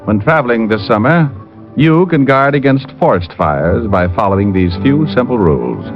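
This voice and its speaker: A man's voice: medium pitch, expressive, moderate pace, music in the background. A man reading an earnest public service announcement on a 1950s radio broadcast. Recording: dull lo-fi 1950s radio recording.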